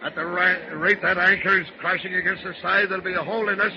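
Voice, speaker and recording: An actor playing an irascible, blustering old peg-legged ship's officer with salty nautical talk in an old-time radio drama. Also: male voice, sits high, steady tone, fast. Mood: grim, salty. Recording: noisy, muffled old radio recording.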